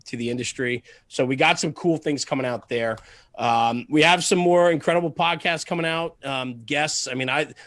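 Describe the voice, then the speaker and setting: A man's voice: mid-range voice, fairly bright tone, no room sound. A man speaking as a podcast guest in casual conversation, earnest and emphatic, repeating phrases to drive a point home. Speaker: podcast guest, fire service media host. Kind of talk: casual podcast interview, passionate monologue.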